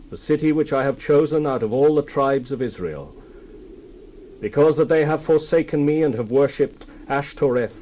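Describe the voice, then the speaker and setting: An actor playing a Hebrew prophet in a dramatized Bible reading, solemnly and emphatically declaiming a divine decree. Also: male voice, mid-range voice, mellow, slight background noise, lightly acted, rough recording.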